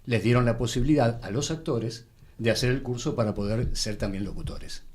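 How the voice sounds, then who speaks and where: An Argentine man with a thick, full voice, an actor reminiscing conversationally as an interview guest in a measured, courteous way. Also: mid-range voice, a little mellow.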